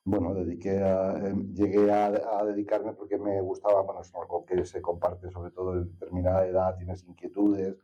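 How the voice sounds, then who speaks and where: Older-ish spanish guy getting interviewed, really dark husky voice, thoughtful, rambles and hesitates. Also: low voice, completely flat delivery, normal speed, hardly any pauses. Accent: Spain. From Castilla-La Mancha, Spain. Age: middle-aged or older. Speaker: interview guest, naturalist. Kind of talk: reflective conversational interview. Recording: slightly dull, lo-fi sound.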